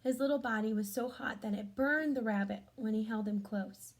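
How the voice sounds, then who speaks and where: A woman reading a storybook aloud to children, adding gentle chatty comments and questions for young listeners. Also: a little high, normal speed. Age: adult.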